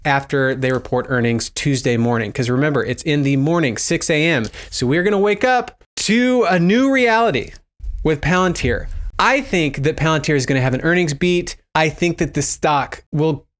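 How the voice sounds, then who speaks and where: A man with a voice pitched a little above average hosts a casual online commentary. He speculates out loud, weighing things up as he talks. He speaks to viewers directly and poses questions to them. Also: moderate pace. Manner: musing, thinks aloud, poses questions to viewers. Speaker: host of a stock investing video channel.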